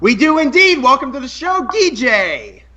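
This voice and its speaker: A high-pitched, playful high school boy played by a man chats as co-host of a campus radio show. He talks in wordy announcer patter, in an amateur radio drama with a slightly muffled lo-fi recording. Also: sing-song, moderate pace, bright, forceful tone, heavily acted. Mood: teasing. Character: male eleventh-grade student co-hosting a campus radio show, playful, wordy.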